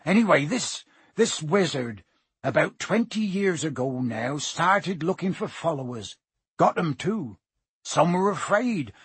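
A man voicing a gruff, warm-hearted giant in an audiobook, rough-voiced, with rustic, blustering and halting speech. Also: fairly high pitch, animated, no room sound, full character voice. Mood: hesitant, grave. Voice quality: husky, rough.

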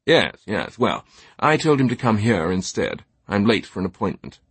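A man's voice: fairly low pitch, very animated, moderate pace, slightly rough, dry recording, heavily acted. A man voicing a jovial, posh older British knight in an audiobook, breezy and blustering with theatrical indignation.

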